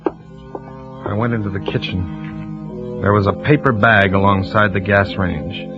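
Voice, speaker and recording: An actor playing a suspicious husband who also narrates the tale in a measured, brooding voice, in a 1940s radio drama. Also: male voice, fairly low pitch, steady tone. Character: suspicious husband, grim first-person narrator. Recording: lo-fi old radio broadcast with music.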